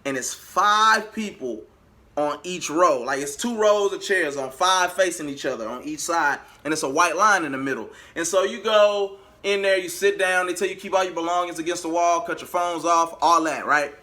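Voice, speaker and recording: A male vlogger recounts things in a casual, slangy way. His voice sits a little high and has a bright, punchy edge. He talks straight to his viewers and acts out bits of dialogue as he goes. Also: normal speed. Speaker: vlogger and amateur singer.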